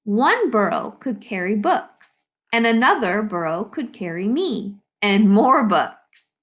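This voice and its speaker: A woman's voice: mid-range voice, sing-song, slow pace, fairly bright tone. A woman voicing a kind, book-loving village man in a children's storybook, earnest and eager. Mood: earnest, hopeful.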